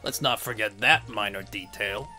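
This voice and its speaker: A man's voice: lowish voice, moderate pace, bright, forceful tone, faint music behind. A man voicing an indignant, sophisticated freeloading pig in a comic dub, wordy and self-important. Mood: indignant, pompous.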